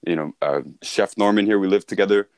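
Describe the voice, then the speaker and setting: A man's voice: lowish voice, brisk, no echo. A man speaking as a sales mentor in an informal training talk, with an instructive, conversational and emphatic way of explaining.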